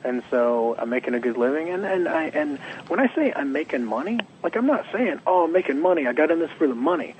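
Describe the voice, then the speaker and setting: A young adult man, a comics writer being interviewed, slightly hoarse, talking in a rambling, animated way and acting out quotes. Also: on the high side, fast, hardly any pauses, slight background noise, poor audio. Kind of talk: casual interview.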